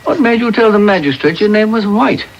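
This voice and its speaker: Genial old gentleman, radio play: blustering, high-pitched for a man, very animated, hissy tape. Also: fairly quick, muffled recording. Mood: flustered. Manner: fussy, avuncular, blustering. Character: genial, excitable elderly English gentleman. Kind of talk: radio drama acting.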